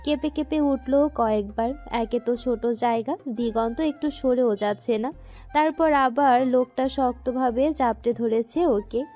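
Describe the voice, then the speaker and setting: A woman's voice: a little high, a little slow, dark tone, soft background music. A woman narrating a scripted romantic story.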